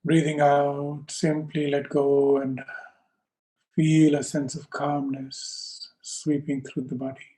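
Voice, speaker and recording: A man teaching yoga and guiding a meditation in slow, calm, measured short phrases with pauses between them. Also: fairly high pitch. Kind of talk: slow guided meditation instruction.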